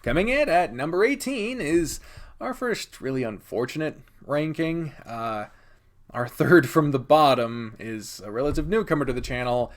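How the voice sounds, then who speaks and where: A man's voice: on the high side, animated, normal speed. A man giving a casual, opinionated countdown review as a video host, partly scripted, with a blunt, sweary manner. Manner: sweary, blunt, chuckling asides.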